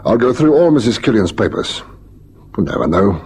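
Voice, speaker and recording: An actor playing a polite, probing police lieutenant in a vintage radio drama, on a faintly noisy recording. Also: male voice, on the low side, very animated, brisk, a little mellow, husky, exaggerated voice. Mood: methodical, deferential. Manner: hesitant, courteous questioning.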